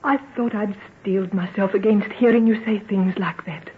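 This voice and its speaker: An actress playing a refined, earnest, loving gentlewoman in old-time radio drama. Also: medium pitch, fairly even intonation, moderate pace, natural voice. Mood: hurt, pleading. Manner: genteel, earnest. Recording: thin, phone-like old radio recording.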